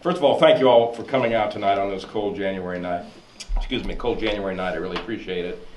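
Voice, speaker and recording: A hoarse man, a state legislator speaking on a panel at a public forum, explaining in earnest detail with frequent restarts. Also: lowish voice, quick pace, a little mellow. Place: church hall forum. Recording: echoey hall, distant mic, faint noise.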